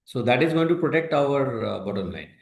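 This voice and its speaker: A man with an Indian accent answers investor questions as a company executive, explaining in a halting, hesitant way with broken phrases. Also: mid-range voice, expressive, creaky. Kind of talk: earnings call answers, explanatory. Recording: roomy echo on a conference call.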